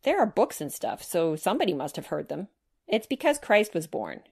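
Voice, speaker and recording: A woman voicing an eager, animated young boy in a children's storybook, insistent and full of exclamations. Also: lowish voice, moderate pace, creaky, breathy edge, full character voice. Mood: earnest, excited. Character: excitable young boy eager to share a miracle.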